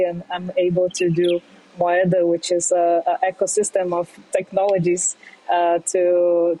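A woman's voice: fairly low pitch, low-quality recording. A woman from Brazil in her thirties, answering interview questions in English with frequent fillers and restarts. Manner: halting, many fillers and restarts, soft laughs. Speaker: guest, fintech entrepreneur.

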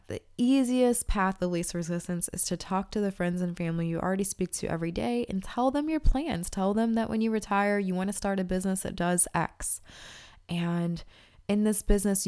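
A woman's voice: mid-range voice, a little mellow, clear, resonant tone. Congested woman podcast host. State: congested from allergies. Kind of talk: casual podcast talk and read ads.

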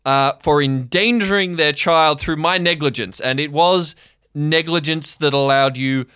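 Man acting out an anxious, placating counselor, bright and clear. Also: on the high side, a little slow, no room sound, clean studio sound, voice pushed up. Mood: anxious, apologetic. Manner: hesitant, earnest, stumbling therapist-speak. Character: anxious, placating adult counselor minding runaway kids.

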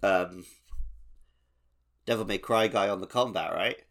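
A man's voice: sits low, unhurried, a bit bright. A man co-hosting a podcast, chatting casually about video games. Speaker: podcast co-host. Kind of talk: casual video game discussion.

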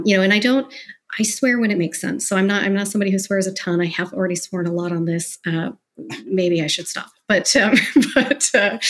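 A woman speaking as an interview guest, talking candidly and discursively about her working life in a conversational, animated way. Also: medium pitch. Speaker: interview guest, business professional.

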